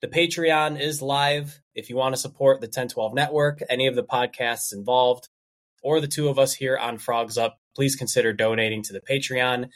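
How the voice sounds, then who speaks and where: A man's voice: mid-range voice, fairly even intonation, normal speed. Congested man hosting a sports podcast. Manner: upbeat host patter, reads ad spots. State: congested from allergies. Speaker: podcast host. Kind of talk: casual sports podcast chat.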